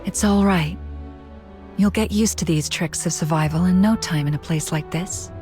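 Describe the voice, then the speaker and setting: A woman voicing a shrewd, composed female tavern keeper in a video game, smooth-talking and self-assured. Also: fairly low pitch, moderate pace, dark tone, soft background music, natural voice. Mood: cool, businesslike.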